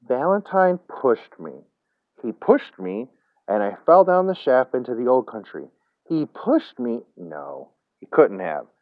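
A man's voice: on the low side, sing-song, measured pace, no room sound, narrow, tinny audio. A man voicing a naive young city man in a story, earnest, easily upset and stammering in protest. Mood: shivering, bewildered.

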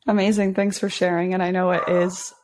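A woman's voice: on the low side, level tone, a little fast, darkish tone. A young woman hosting a podcast interview over a video call, upbeat and friendly as she asks questions. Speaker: podcast host. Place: home room. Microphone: headset with video call.

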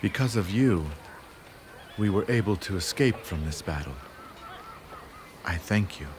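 An actor playing a calm, wise gentleman strategist of ancient China in a video game, formal and composed. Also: low-pitched, a little slow, slight background noise. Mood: composed, gracious. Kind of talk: dubbed video game cutscene acting.

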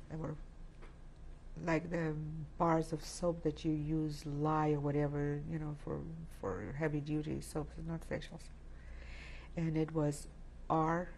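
Low, gravelly-voiced Polish-born woman. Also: darkish tone, slight background noise, low-quality recording. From Poland. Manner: reflective, halting, with many restarts. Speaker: interviewee. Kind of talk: oral history testimony. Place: indoor studio set with plants.

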